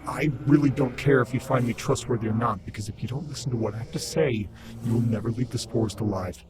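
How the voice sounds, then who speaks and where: An actor in an audio drama playing a disembodied, once-human servant spirit, commanding and impatient, with formal, urgent speech. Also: male voice, quick pace, close to an everyday voice. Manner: stern, urgent, formal and cryptic. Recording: loud rumbling background noise.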